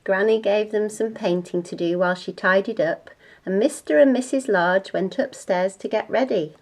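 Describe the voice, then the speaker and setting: A woman reading a children's bedtime storybook aloud in a deliberate, expressive way. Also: medium pitch.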